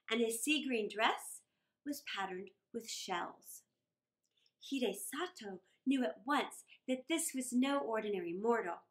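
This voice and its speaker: High-voiced, unhurried woman storyteller. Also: fairly bright tone. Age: middle-aged. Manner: deliberate, dramatic phrasing. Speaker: children's storyteller. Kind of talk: expressive read-aloud storytelling. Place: small indoor room against a wall.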